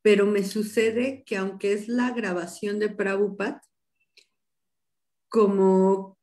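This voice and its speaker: A woman's voice: medium pitch, little pitch movement, slow pace, warm tone. A woman in a class asking the teacher a question over a video call, hesitantly searching for her words. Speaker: class participant. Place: home room, video call. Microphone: laptop webcam mic on a video call.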